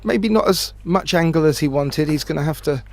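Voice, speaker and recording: A man giving expert pool analysis as co-commentator, thoughtful and a bit halting. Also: a little high, vocal fry, a little mellow. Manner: analytical, hesitant with fillers, laughs easily. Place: remote booth.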